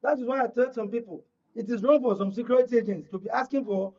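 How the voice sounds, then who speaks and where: A man's voice: very high voice, fast, dark tone. An older man with a Nigerian accent speaking as an analyst in a panel discussion, making emphatic points with anecdotes and rhetorical questions. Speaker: political analyst and panel guest. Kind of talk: panel discussion on current affairs. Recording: dull, lo-fi broadcast audio.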